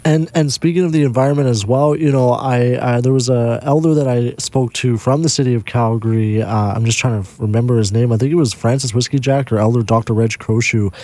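A man's voice: medium pitch, brisk, hardly any pauses, mellow, no room sound. A man hosting an interview, asking long, hesitant questions that stumble over words. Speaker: host. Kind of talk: conversational interview.

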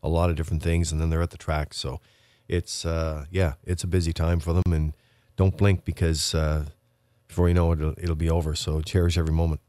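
A man's voice: very deep voice, level tone, brisk, warm tone, no echo. A man from Prince Edward Island, a racetrack representative, talking casually as a radio guest with lots of fillers and restarts. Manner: rambling, many fillers and restarts.

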